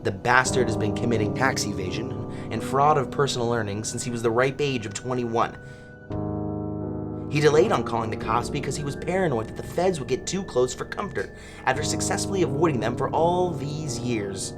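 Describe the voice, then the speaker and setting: An actor playing a chatty, wry true-crime podcast host, telling a story in a theatrical, folksy way. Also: male voice, medium pitch, animated, fairly quick, over loud music, natural voice. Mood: wry, dramatic.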